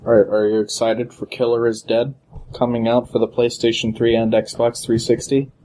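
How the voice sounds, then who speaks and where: A young man with a deeper voice co-hosting a casual gaming podcast, teasing and joking with his partner. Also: completely flat delivery, normal speed, mellow, a little hiss or hum. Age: young adult. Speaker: podcast co-host.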